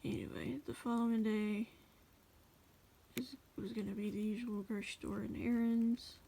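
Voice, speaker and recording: A woman casually reading her journal aloud as a voice-over, in a rambling, halting way. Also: a little high, level tone, vocal fry, warm tone. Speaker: journal flip-through video creator.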